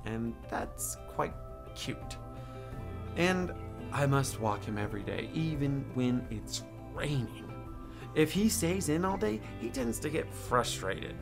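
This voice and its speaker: A man reading aloud as a gentle young male dog who tells about his boy, in a soft, calm storybook voice. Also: mid-range voice, takes it slowly, gravelly, over music, full character voice. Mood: tender. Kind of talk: children's book read-aloud.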